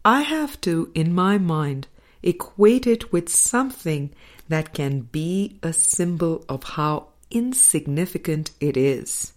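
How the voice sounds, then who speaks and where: A woman narrating a spiritual audiobook, reading scripted text in measured, deliberate phrases. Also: deep voice, expressive. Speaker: audiobook reader. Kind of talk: scripted spiritual book narration, read aloud.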